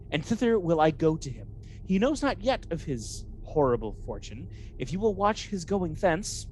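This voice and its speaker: A scheming villain, as played by a stage actor in a Shakespearean radio play. The delivery is measured and conspiratorial, coaxing the listener along, with faint noise on the recording. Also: mid-range voice, close to an everyday voice, poor audio.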